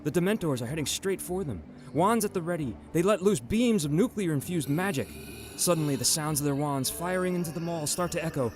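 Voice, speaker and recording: A man's voice: on the high side, animated, a little fast, a little hiss or hum. A man narrating a scripted radio drama in a dramatic storytelling manner. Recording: music bed behind the voice.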